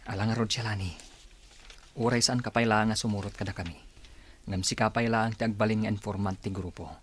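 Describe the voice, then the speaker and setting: A man's voice: fairly low pitch, steady tone, brisk, husky. A man with an Ilocano Filipino accent acting a coaxing young gang leader in a radio drama.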